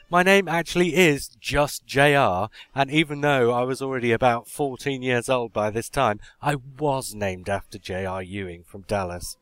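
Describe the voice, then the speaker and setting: A man from Yorkshire, hosting a podcast with casual banter, drawn-out anecdotes and mock exasperation. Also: mid-range voice, a bit bright, clear, resonant tone, dry recording. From Yorkshire, England. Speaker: podcast host.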